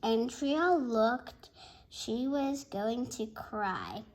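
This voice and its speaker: A child reading a bedtime story aloud in an expressive, halting way, with asides to listeners. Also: medium pitch, rough recording. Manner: expressive, halting, shouts out dialogue. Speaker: storybook reader.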